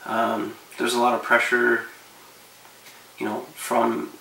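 A man in his thirties giving relaxed, rambling fishing advice to camera from his living room couch, with lots of fillers. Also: medium pitch, takes it slowly, creaky, roomy sound, recorded from a distance, poor audio. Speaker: fishing vlogger.

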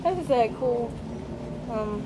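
A woman with a fairly high voice chats and laughs easily while exploring outside a closed store. She is heard out in a parking lot. Clear traffic noise sits behind her. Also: expressive. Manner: chatty, quick to laugh. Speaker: exploring companion. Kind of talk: casual exploring chat.

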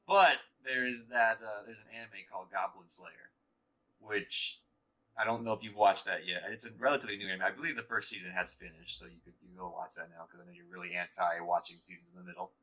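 A man with a lowish voice that is strikingly bright and forceful, chatting casually while he plays a game. He talks slowly and haltingly, restarting his sentences as he goes. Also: steady tone, low-quality recording. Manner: drawn-out, halting delivery with many restarts. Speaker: co-host of a gaming channel. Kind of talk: casual chat while gaming.

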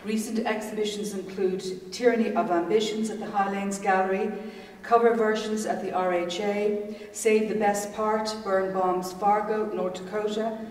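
A woman's voice: medium pitch, steady tone, normal speed, a little mellow, a little hiss or hum. A woman in her sixties, hosting at a gallery, reads a formal introduction from notes and runs through long lists of names and titles. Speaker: gallery host. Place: gallery room, standing. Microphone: far camera mic. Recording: echoey gallery room from far off.

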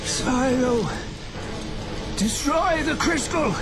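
Desperate shouting dragon mentor. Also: male voice, very high voice, expressive, very slow, music in the background, heavily acted. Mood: desperate, urgent. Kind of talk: video game cutscene acting.

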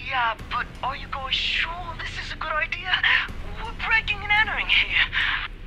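An actor playing excitable, mocking teenage schoolboys in a horror video game. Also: sits high, moderate pace, music in the background. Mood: nervous, dismissive. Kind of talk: visual novel video game voice acting.

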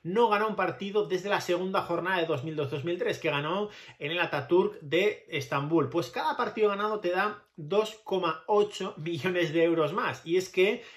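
A man in his thirties with a slightly high voice and a Spain Spanish accent. He hosts a football channel and talks casually to camera, wandering into asides and giving his opinions freely. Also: normal speed, fairly bright tone. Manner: conversational, digressive, opinionated. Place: small plain indoor room with pale walls.

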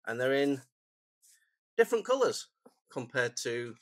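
A man, croaky and phlegmy from a cold, chatting casually and rambling through a stationery unboxing at his desk. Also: mid-range voice, sing-song, measured pace, dry recording. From England. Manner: rambling, self-deprecating, chatty asides. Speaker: stationery vlogger. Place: home desk, indoors.

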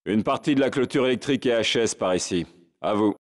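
A man playing a steady, easygoing patrol soldier in a video game, making matter-of-fact radio calls. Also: mid-range voice, fairly quick, natural voice. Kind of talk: military radio chatter, game voice acting.

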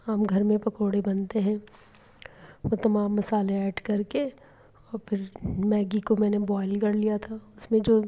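A middle-aged woman calmly narrating her home cooking and daily routine vlog. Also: medium pitch. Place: home kitchen and rooms.

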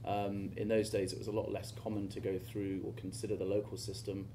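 Guy on a school panel, low flat voice, lots of ums, noisy hall. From Hong Kong. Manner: measured, hesitant with many ums. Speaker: parent panelist. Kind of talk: panel discussion. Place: lecture hall stage before an audience.

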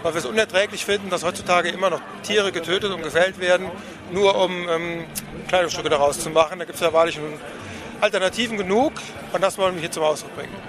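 Male activist interviewee, high voice, earnest and measured, crowded street around. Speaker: animal rights activist being interviewed. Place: outdoors at a demonstration stand. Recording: outdoor street recording with crowd noise.

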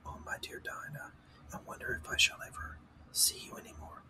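A man's voice: moderate pace, lightly acted. A man whispering the lines of a polite, eager young girl in a storybook reading. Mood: melancholy.

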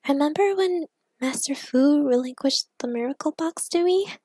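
A woman performs a young superheroine in an audio story. She speaks high and expressively, confiding with playful warmth and earnest worry. The sound is dry. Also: normal speed, dry recording, close to an everyday voice. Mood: confiding, wistful.

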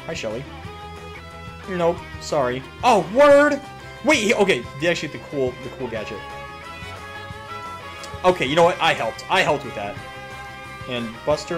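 An excitable young man giving casual, profane, slangy gameplay commentary, repeating himself as he goes. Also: on the high side. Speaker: gaming YouTuber. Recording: music behind the voice.

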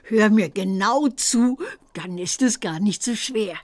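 Slow, theatrical old witch teacher. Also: medium pitch, a little mellow, no echo. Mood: instructive. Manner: theatrical, deliberate, instructive. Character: old witch examiner, stern herbalism teacher who rhymes. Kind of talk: children's computer game voice acting.

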